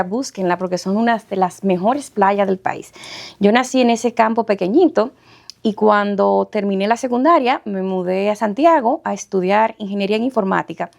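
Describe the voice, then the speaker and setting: Dominican lady, middle-aged, raspy fry in her voice, chatty guest laughing through her story. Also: mid-range voice. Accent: Dominican Spanish. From Dominican Republic. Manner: warm, animated storyteller, laughs often. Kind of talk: personal life story interview.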